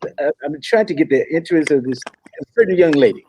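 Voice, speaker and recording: A man's voice: on the high side, brisk, dark tone, close to an everyday voice, low-quality recording. A man playing a polite, earnest young African prince with formal, hesitant courtesy in a comedy table read. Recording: clear background noise with clicks.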